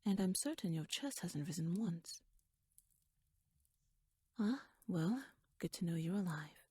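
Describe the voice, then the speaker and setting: A woman playing a shrewd, teasing royal noblewoman in an ASMR audio roleplay, speaking softly in a wry, courtly, measured way that slips toward a whisper. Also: on the low side, expressive, breathy edge, no room sound, full character voice. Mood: wry, courteous.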